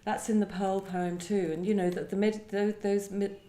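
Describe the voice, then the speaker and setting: A woman poet with a soft, dark-toned voice speaks in a literary setting. She is reflective and feels for her words, and the room rings noticeably around her. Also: medium pitch, flat delivery, moderate pace. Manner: hesitant, searching, thoughtful phrasing. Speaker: poet discussing own work. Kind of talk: reflective literary conversation with poetry reading. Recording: roomy live event sound.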